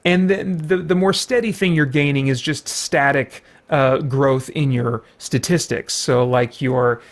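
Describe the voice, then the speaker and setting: A man's voice: mid-range voice, steady tone, a little slow. A man hosting a casual, unscripted video game review, explaining things conversationally with plenty of hedging. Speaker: host reviewing video games.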